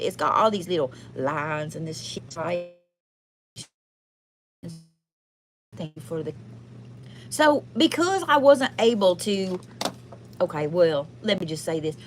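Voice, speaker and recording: A woman's voice: on the low side, a little hiss or hum, close to an everyday voice. A woman playing a brassy, foul-mouthed but kindhearted trailer park fortune teller with a Southern US accent, chatting folksily through a live card reading. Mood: sassy, advising. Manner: folksy, sweary, chatty, calls listeners honey and y'all. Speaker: livestream tarot reader. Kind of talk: casual comic live card reading in persona.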